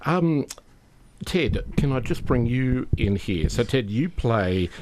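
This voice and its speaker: A middle-aged Australian man presenting and interviewing in a conversational radio style, with hesitant, halting phrasing. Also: medium pitch, lively intonation, noisy background. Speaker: radio host interviewing guests. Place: radio studio.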